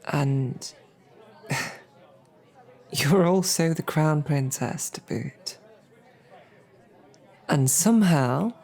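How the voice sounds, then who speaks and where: A woman playing a bashful, smitten knight and royal guard in an intimate audio roleplay, speaking haltingly with flustered, self-deprecating rambling. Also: rumbling low, creaky, warm tone, exaggerated voice. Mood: smitten, amused.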